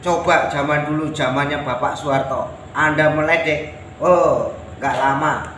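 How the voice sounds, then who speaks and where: Casting: Javanese-accented man, fairly high voice, emphatic folk orator speaking to his viewers, noisy amateur recording. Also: steady tone, a little slow, a bit bright. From Java, Indonesia. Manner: oratorical, emphatic, repetitive phrasing. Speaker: self-styled folk personality addressing viewers. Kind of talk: patriotic monologue to camera. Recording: roomy echo with clear background noise.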